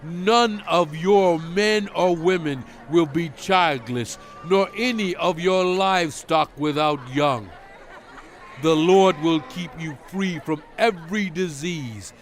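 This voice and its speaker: An actor playing a solemn, commanding prophet in a dramatized audio Bible, stern and fervent, speaking with deliberate, emphatic oratory. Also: male voice, a little high. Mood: fervent, rousing. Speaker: dramatized scripture narrator. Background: music.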